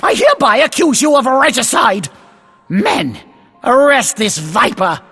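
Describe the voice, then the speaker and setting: A husky-voiced, indignant accuser from a fantasy game cutscene. The delivery is imperious and declamatory, with big swings in pitch. Faint music plays underneath. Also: big pitch swings, a little slow, fairly bright tone, heavily acted. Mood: righteous fury. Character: indignant accuser in a royal court. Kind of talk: dramatic video game cutscene acting.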